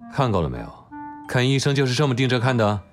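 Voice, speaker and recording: A man's voice: mid-range voice, very animated, fast, faint music behind, natural voice. A man playing a curt, stern but privately flirtatious doctor in an audio drama, with a cool, sardonic manner. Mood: stern, dismissive.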